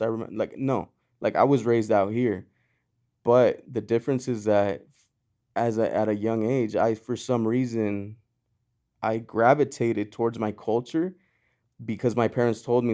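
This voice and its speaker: Laid-back man with a lowish voice. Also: mellow. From Mexico. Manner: loose and rambling, lots of "you know" and "like", frequent restarts. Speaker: guest, clothing brand founder. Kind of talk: casual podcast conversation.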